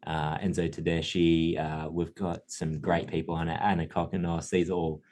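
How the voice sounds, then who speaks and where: A man, a guest from a film distributor, explaining things in a relaxed, chatty way. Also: deep voice, moderate pace, slight background noise. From Australia. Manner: relaxed, explanatory, laughs easily. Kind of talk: casual interview chat.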